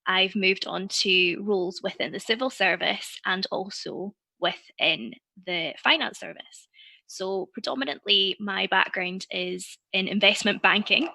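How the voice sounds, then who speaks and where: A woman around thirty from Scotland with a croaky voice, introducing herself on a webinar panel. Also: medium pitch, pushed and bright, no echo, poor audio. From Inverness, Scotland. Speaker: panel guest. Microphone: video call.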